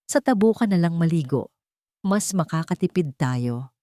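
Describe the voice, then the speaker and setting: A woman's voice: lowish voice, lively intonation, moderate pace, dark tone, no room sound, studio quality, natural voice. A woman voicing a harsh, nagging older mother-in-law in a narrated story, complaining and stressing her words. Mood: stern. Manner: scolding, complaining, emphatic.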